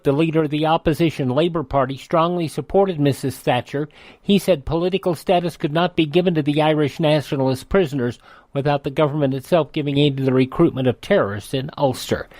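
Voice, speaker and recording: Higher-pitched American male radio host. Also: a little mellow, dry recording. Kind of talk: scripted this-day-in-history narration with ad-libs.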